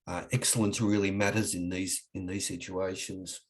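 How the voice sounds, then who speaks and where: A middle-aged man with an Australian accent, husky from a cold, giving an online webinar lecture in a halting way with many restarts. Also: low voice, little pitch movement. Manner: halting, frequent restarts and fillers. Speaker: webinar presenter.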